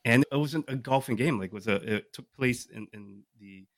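A man's voice: mid-range voice, fairly quick, no room sound, studio quality. A man co-hosting a podcast, talking casually and enthusiastically through his picks, often throwing out rhetorical questions. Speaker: podcast co-host. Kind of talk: casual chat, pitching a list of picks to the group.

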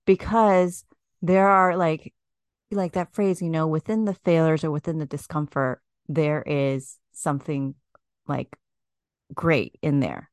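A woman's voice: low-pitched, unhurried, no room sound, studio quality. A woman hosting a podcast, chatting casually with many "like"s and laughing at her own slips. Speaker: podcast host. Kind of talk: casual interview chat.

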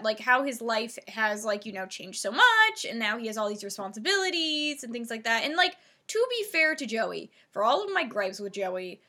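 Bright-voiced chatty woman podcaster. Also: a little high. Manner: rambling, filler-heavy, lots of "like". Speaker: podcast co-host. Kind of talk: casual podcast chat.

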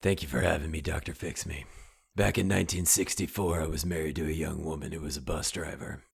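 An actor playing an older male sponsor, a former spy who sells guns and ammo, with a low, gravelly voice and a folksy sales-pitch manner. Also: steady tone, brisk, heavily acted. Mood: deadpan. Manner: folksy ad pitch turning unhinged. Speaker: sponsor doing a live read.

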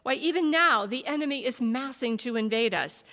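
A woman voicing a proud, anxious warrior king who speaks loudly and insistently, heard in a reverberant hall. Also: high voice, animated, unhurried, vocal fry, pitched above the performer's own voice, full character voice. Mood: defiant. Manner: loud, insistent, pleading. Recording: long reverb of a big hall.

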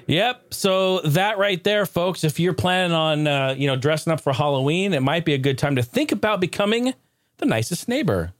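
A man hosting a podcast in casual comedic chat, talking in a jokey, excitable, informal way. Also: on the high side, normal speed, dry recording, clean studio sound. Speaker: podcast host.

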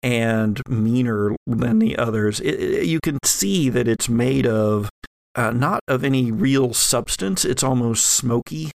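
A man acting as game master, narrating a horror tabletop game in a halting, descriptive way. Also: mid-range voice, animated, vocal fry.

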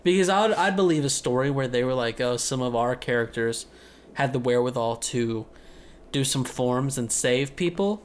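A man's voice: mid-range voice, moderate pace, a little hiss or hum. A man co-hosting a casual anime recap show, retelling scenes with energy and joking asides. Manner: animated recapping, puts on mock villain voices. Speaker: podcast co-host.

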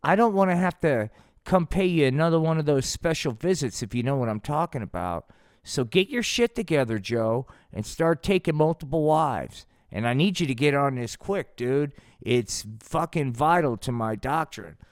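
Man doing a pushy, higher-pitched slangy Jesus puppet. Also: lively intonation, normal speed, smooth, dry recording, clean studio sound. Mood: pushy, threatening. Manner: commanding, slangy, badgering.

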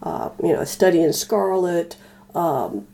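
A woman co-hosting a podcast in casual chat, thinking aloud in a tentative, halting way. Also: lowish voice, mellow. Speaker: podcast co-host.

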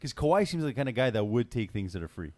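A man's voice: medium pitch, big pitch swings, quick pace, crowd noise. A young man in a sports chat, asking skeptical questions.

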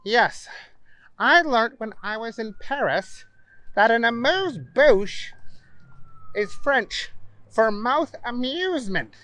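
A reader voicing a greedy, boastful man obsessed with hot dogs, who talks loud, high and drawn-out. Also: animated, no room sound, poor audio. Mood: demanding.

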